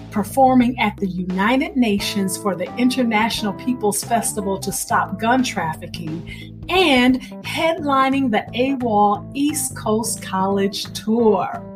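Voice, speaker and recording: A woman radio host reading a scripted introduction of a featured storyteller in a deliberate, emphatic way. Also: a little high. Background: music, plucked guitar.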